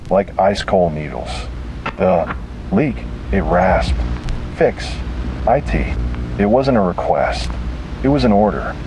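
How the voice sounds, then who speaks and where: A man narrating scripted first-person horror in a dramatic, deliberate and grim manner. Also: low voice. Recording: noisy, hissy analog tape sound.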